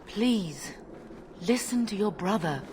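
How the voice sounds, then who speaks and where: A worried grieving mom in a fantasy game, slow talking, noisy background. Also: female voice, mid-range voice, expressive, a little mellow. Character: anguished, protective mother fleeing war. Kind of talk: dramatic game dialogue.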